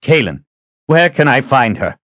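A man's voice: medium pitch, very animated, a little slow, fairly bright tone, no echo, pitched above the performer's own voice. A man voicing a young swordsman who speaks in a tense, pressing way. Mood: urgent. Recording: dull lo-fi audio.

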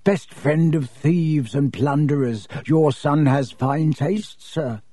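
A man voicing an oily, obsequious shopkeeper of dark goods, hushed and fawning. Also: a little high, slow, no room sound.

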